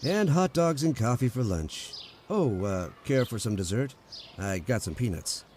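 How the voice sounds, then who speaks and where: A man's voice: medium pitch, lively intonation, measured pace, a little mellow, slight background noise, natural voice. A man playing an easygoing, wry, folksy dreamer in an old-time radio romantic comedy. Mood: easygoing, reflective. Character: easygoing, wry male drifter dreaming of building boats.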